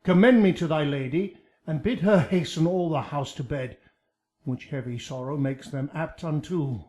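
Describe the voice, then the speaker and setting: An actor playing an admonishing friar in a Shakespearean radio drama, with commanding, declamatory delivery. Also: male voice, a little high, normal speed, warm tone, close to an everyday voice. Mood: stern counsel. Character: Friar Lawrence, urgent, admonishing holy friar.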